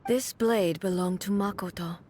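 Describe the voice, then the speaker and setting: An actress playing a proud, solemn warrior goddess in a video game, speaking in a formal, stately, deliberate way. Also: female voice, mid-range voice, warm tone, natural voice. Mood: solemn, contemplative. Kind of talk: scripted dramatic video game acting. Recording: game audio with background music.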